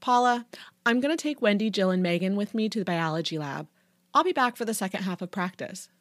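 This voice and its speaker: A woman's voice: medium pitch, expressive, a little fast, no echo, clean studio sound, lightly acted. A woman voicing a confident, teasing teenage cheerleader ringleader in an audiobook. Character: bold, coy teenage cheerleader ringleader girl. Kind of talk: audiobook character dialogue.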